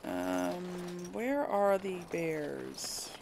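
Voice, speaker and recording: Slow-paced woman with creaky voice. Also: lowish voice, wildly swooping pitch, background noise. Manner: musing aloud, chatty to viewers. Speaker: gaming video host. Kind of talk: casual let's play commentary. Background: video game ambience and effects.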